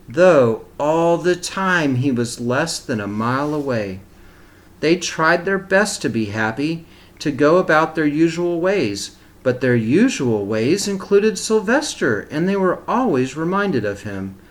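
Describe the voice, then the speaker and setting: A man reading a picture book aloud to young children as a teacher, pausing for simple explanatory asides. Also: medium pitch.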